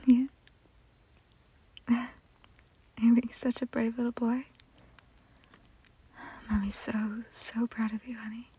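A woman speaking in a soft, breathy whisper in an intimate roleplay style, slow and soothing. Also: a little high, level tone, rough recording.

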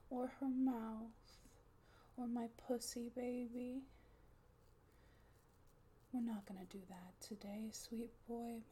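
A woman in an intimate audio roleplay, speaking softly and often slipping into a coaxing whisper. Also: on the high side, slight background noise. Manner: soft, often unvoiced whispering; coaxing, doting caretaker tone.

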